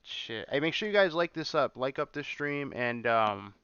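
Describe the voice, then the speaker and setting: A man's voice: medium pitch, big pitch swings, moderate pace, fairly bright tone, smooth, no room sound, muffled recording. A man hosting a casual livestream, rambling and repeating himself, swearing often as he reacts. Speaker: livestream host. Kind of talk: casual livestream chat reacting to clips and viewer comments.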